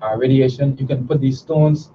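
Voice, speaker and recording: A man from the Bahamas with a Bahamian accent, a guest on a talk show pitching his herbal products earnestly, often restarting his sentences. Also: steady tone, normal speed, mellow. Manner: earnest, persuasive, with frequent restarts and fillers. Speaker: guest, natural herbal products business owner. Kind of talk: promotional talk show interview. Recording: distant mic, dull sound, background noise.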